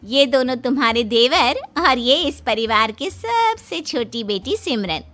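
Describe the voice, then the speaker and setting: Woman playing a slow, swooping cartoon mother-in-law. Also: sits high, big pitch swings, a bit bright, soft background music, heavily acted. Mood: proud, affable. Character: assertive middle-aged mother-in-law of a big household. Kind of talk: Hindi cartoon story dialogue.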